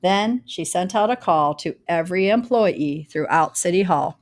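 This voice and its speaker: A woman reads a rhyming children's storybook aloud, telling it in a dramatic, deliberate way with varied emphasis. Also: on the low side, lively intonation. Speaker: storybook reader. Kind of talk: expressive read-aloud of a rhyming children's picture book.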